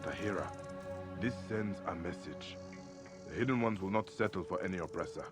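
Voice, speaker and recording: A man plays a grave Egyptian assassin leader with a low voice. He speaks in solemn, measured maxims, like a principled mentor in a game cutscene. Music runs clearly behind him. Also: close to an everyday voice. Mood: resolute. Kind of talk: dramatic video game cutscene acting.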